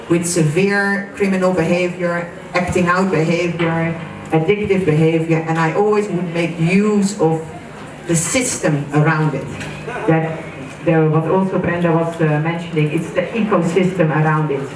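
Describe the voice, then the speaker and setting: A woman in her late forties speaks with a Dutch accent and a lowish voice. She wanders through her words with many fillers and quick laughs. She sounds far from the mic in a reverberant room, with clear background noise. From Netherlands. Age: late 40s. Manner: warm, rambling, laughs often, many fillers. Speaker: relationship and family counselor. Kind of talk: self-introduction at a gathering. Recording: echoey room from a distance with noise.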